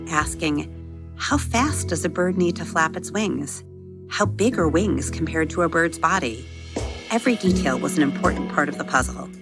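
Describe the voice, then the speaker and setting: A woman narrates a children's storybook tale in a low register with plenty of vocal fry. Her delivery is measured and expressive, like scripted podcast storytelling. Music plays behind her voice.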